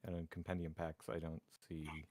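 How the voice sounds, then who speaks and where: A man with a low, deep voice plays a tabletop roleplaying game. He chats informally with the group, recorded close and dry. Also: little pitch movement, moderate pace, darkish tone, no echo. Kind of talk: casual in-game table chat.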